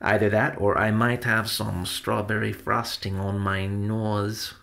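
A man's voice: lowish voice, flat delivery, measured pace, creaky, fairly bright tone. A man voicing a cheerful, playful middle-aged theater dresser who likes to joke, in a storybook reading. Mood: friendly, playful.

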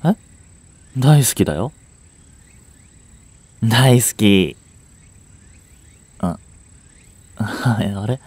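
A man's voice: fairly low pitch, expressive, extremely slow, long pauses, a little mellow, breathy edge, exaggerated voice. A young man acting a curt, flustered boyfriend who hides his affection, with a hesitant, brusque delivery in a romance audio drama. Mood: tender, earnest. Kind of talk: Japanese romance audio drama aimed at women.

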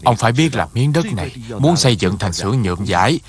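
A man voicing a serene, kindly, wise Buddhist monk master in a cartoon, measured and gently instructive. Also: medium pitch, very animated, a crowd in the background, natural voice. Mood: concerned. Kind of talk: Vietnamese-dubbed moral cartoon.

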